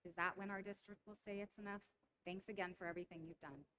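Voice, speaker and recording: A woman's voice: medium pitch, steady tone, fast, crowd noise. Pleading mother at public comment. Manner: pleading, earnest. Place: school board meeting room.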